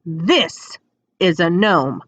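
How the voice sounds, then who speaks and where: A woman's voice: lowish voice, lively intonation, drawn-out words, breathy edge, no room sound, exaggerated voice. A woman voicing a young boy wizard in a storybook, plain and muttering, a loyal friend. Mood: grim.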